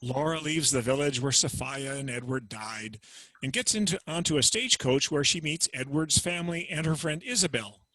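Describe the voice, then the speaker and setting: A man reading short scene summaries in a plain, measured voice. His voice sits a little high, and the sound is dry and close. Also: bright, forceful tone, dry recording. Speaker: narrator of summaries. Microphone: video call.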